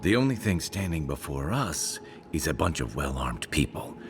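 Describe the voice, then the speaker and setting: A man playing a wary, pragmatic warrior companion in a video game, with a gruff, wry, commanding manner. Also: extremely low pitch, sing-song, normal speed, slight background noise, full character voice. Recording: game music behind the voice.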